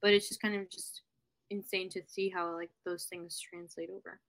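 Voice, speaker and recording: A woman's voice: lowish voice, level tone, moderate pace, fairly bright tone. A young woman from the United States, a podcast guest chatting casually and leaning heavily on fillers. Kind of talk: casual interview chat.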